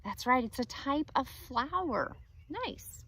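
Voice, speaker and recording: Woman teacher, read-aloud lesson, expressive, lively pitch, asks questions. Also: slow, creaky, breathy edge.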